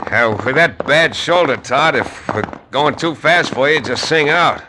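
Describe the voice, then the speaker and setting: An actor playing a steady, dry-witted frontier lawyer who narrates a 1950s radio drama in a wry, measured way. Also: male voice, a little high, lightly acted. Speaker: narrator and lead. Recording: noisy old radio recording.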